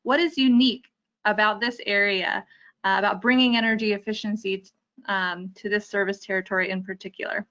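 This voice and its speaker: Female host, bright forward voice, mid pitch. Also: steady tone, brisk. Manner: friendly questions with fillers. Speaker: interviewer. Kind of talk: interview host asking questions.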